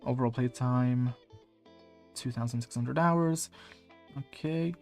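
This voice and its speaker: A man with a Polish accent talks loosely through a gameplay review, his speech scattered with "uh" and "you know" and the occasional curse. His voice sits at an ordinary middle pitch, with faint music playing quietly in the background. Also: slow. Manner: rambling, filler-heavy, casual swearing. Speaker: game coach. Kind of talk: casual gameplay video review.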